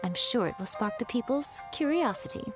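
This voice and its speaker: A woman's voice: a little high, expressive, normal speed. A woman voicing an elegant, playful fox priestess and publishing boss in an anime video game, poised, self-assured and teasing. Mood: poised, approving. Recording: music behind the voice.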